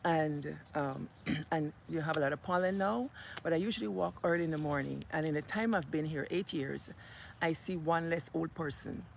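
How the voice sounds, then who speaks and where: Gravelly-voiced middle-aged Guyanese woman vlogging. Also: sits low, moderate pace, a little mellow, slight background noise. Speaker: vlogger and host. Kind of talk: casual vlog chat. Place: outdoors near home in a village.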